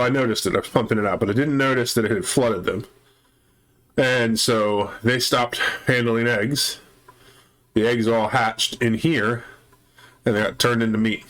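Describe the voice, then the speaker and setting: A man's voice: on the low side, a bit bright. A man with a chest cold, hosting a let's play and methodically explaining his base repairs step by step. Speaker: gaming channel host.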